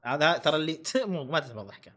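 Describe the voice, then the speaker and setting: A man's voice: on the high side, expressive, racing, a bit bright. A man with a Saudi accent chatting casually with friends as the host of a challenge video.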